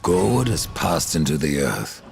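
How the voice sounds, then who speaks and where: A man's voice: extremely low pitch, big pitch swings, a little slow, creaky, soft background music, full character voice. A man acting a grim horseman in a video game, with a dry, sardonic, menacing way of speaking.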